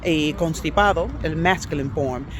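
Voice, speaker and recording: A chatty woman teaching language in a relaxed vlog, whose first language is English. She talks in an easy, halting way, filling pauses with ums and uhs and moving between languages. She is recorded outdoors in a city, with faint traffic in the background. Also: low-pitched. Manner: chatty, hesitant with many fillers, switches between languages. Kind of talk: casual vlog lesson.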